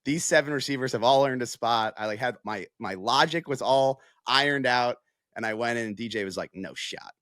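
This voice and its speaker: A man's voice: medium pitch, fairly quick, fairly bright tone, no room sound, studio quality. A man analysing football in a casual podcast discussion, with an emphatic manner that leans on repeated phrases.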